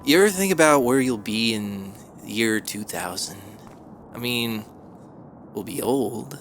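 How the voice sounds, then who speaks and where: A man's voice: medium pitch, lively intonation, a little slow, fairly bright tone. Actor as a wisecracking young guy, faint noise. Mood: musing. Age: young adult. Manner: casual, sardonic, rambling. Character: excitable, wisecracking young slacker musician. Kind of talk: audio drama acting.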